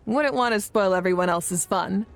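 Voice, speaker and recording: A woman's voice: medium pitch, normal speed, lightly acted. A woman voicing a confident, kindly young leader of warriors in a video game, easygoing and encouraging. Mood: assured, approving. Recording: music behind the voice.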